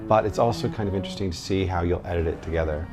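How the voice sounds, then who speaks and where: A man's voice: deep voice, rapid-fire, music in the background. A man who does voice acting, speaking casually in a documentary interview. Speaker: voice actor.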